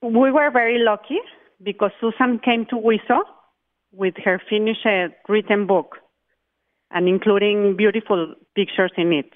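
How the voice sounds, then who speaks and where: A woman's voice: mid-range voice, steady tone. Mexican-accented woman on a phone line. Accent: Mexican Spanish. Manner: careful, halting, with restarts. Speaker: charity group chair. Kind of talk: phone interview.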